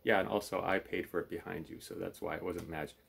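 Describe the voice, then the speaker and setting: A man's voice: deep voice, steady tone, fast, vocal fry, a bit bright, breathy edge. A man in his thirties hosting a puppet show with dry, teasing, casual banter, in a room at home. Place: small room at home, indoors.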